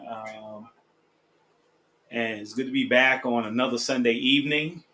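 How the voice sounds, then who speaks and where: A man's voice: mid-range voice, fairly even intonation, unhurried, bright, forceful tone. A man in his sixties hosting his podcast, chatting in an upbeat, rambling way with lots of fillers and restarts. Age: 60s. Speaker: podcast host. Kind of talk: casual chat.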